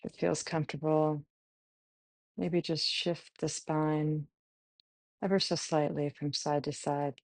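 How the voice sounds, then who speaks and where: Slow, low-voiced woman guiding meditation. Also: little pitch movement, no echo. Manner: gentle instructing, with long pauses between short phrases. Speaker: meditation guide. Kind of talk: guided body scan meditation.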